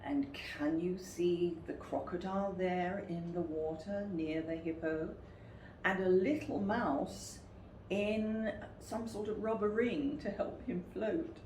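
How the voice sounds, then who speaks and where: A woman reading a picture book aloud to children in an expressive, deliberate way. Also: lowish voice. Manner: expressive, deliberate, asks listeners questions. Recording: roomy, distant, noisy recording.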